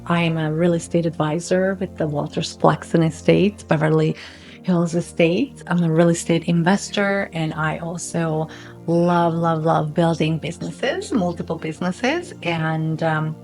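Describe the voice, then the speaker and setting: Slovak-born woman guest, low voice, animated and laughing, faint music under. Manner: bubbly, laughs often, animated. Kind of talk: casual interview chat.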